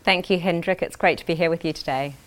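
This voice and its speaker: A woman's voice: fairly low pitch, quick pace. A middle-aged woman with a New Zealand accent, giving earnest, enthusiastic and professional answers as a guest in an interview. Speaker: interview guest, corporate executive.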